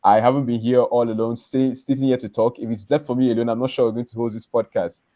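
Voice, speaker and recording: A man's voice: medium pitch, flat delivery, fast talker, mellow, no room sound. A man hosting a live business podcast, talking loosely with many restarts and repeated words. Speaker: podcast host.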